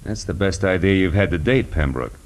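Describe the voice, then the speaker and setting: Sardonic hard-boiled detective for vintage radio noir; low register, very lively inflection. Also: male voice, brisk. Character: wry, tough male private detective. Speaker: lead actor in radio detective drama. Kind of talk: 1940s radio noir acting and narration. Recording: vintage 1940s radio broadcast recording.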